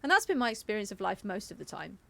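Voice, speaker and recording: A young woman live streaming with casual game commentary, musing out loud in a chatty, informal way. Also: mid-range voice, sing-song, fast talker, gravelly. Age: young adult.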